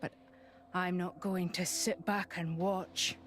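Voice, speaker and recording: A woman playing a dignified imperial military commander in a video game, speaking in a formal, measured way. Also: on the low side, level tone, over music. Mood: composed, resolute.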